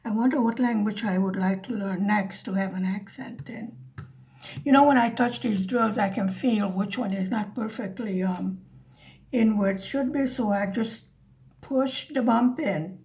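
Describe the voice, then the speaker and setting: A woman's voice: medium pitch, measured pace, a little mellow. A woman with a slight Hungarian-American accent, chatting casually and rambling to viewers while she demonstrates a craft. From United States. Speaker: craft vlogger.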